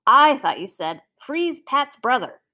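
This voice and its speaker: A woman's voice: sits high, very animated, measured pace, sharp tone, heavily acted. A woman voicing a mischievous young child in a storybook, with a mock-innocent, sing-song delivery.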